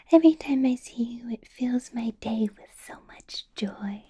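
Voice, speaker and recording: Vampire boss, female, ASMR roleplay: very mellow, airy whisper, slightly high, amused menace. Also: slow pace, exaggerated voice. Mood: silkily menacing. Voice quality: soft whispering, breathy. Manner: sweetly possessive, amused with an undercurrent of threat.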